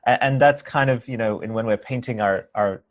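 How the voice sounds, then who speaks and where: A man's voice: medium pitch, moderate pace. A man hosting a casual interview, rambling and talking in metaphors. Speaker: podcast host.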